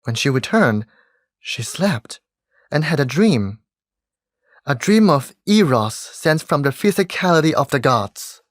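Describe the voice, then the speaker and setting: An actor playing a solemn fairy storyteller in a stage play, measured and dramatic. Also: medium pitch, very animated, no room sound, studio quality. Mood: solemn, enchanted.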